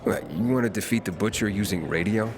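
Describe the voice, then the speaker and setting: An actor playing a wary, driven young outsider hero in a video game, with terse, guarded delivery. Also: male voice, lowish voice, quick pace, noisy background, natural voice. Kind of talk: video game dialogue acting. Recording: music behind the voice.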